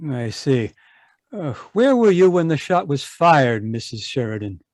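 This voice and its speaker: A man playing a calm, shrewd police inspector in a radio mystery, with a polite, measured manner. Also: mid-range voice, no room sound, clean studio sound. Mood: probing, polite. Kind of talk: 1940s radio mystery acting.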